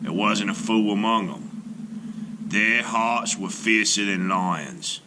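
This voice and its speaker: Slow, gravelly male storyteller. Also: lowish voice, a little hiss or hum. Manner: deliberate, expressive storytelling. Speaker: storyteller reading aloud. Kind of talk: dramatic first-person folktale narration.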